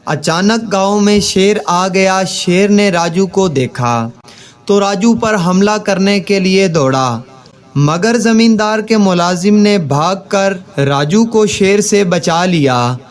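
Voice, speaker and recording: Male storyteller for kids' moral tales, high-pitched voice, very unhurried pacing. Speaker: narrator. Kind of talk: scripted children's moral story narration.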